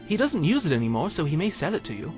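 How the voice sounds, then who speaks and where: A helpful townsman acted by a man in a fantasy game, matter-of-fact yet quick and lively, with music clearly behind him. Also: fairly high pitch. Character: helpful male townsman giving advice. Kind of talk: video game character acting.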